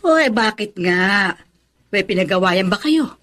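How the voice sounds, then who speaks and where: A medium-pitched, animated female voice plays a worried mother. She questions her grown daughter in a gentle, coaxing way, in radio drama style. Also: unhurried. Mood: concerned. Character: concerned, probing older parent of a grown daughter. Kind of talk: Tagalog radio drama acting.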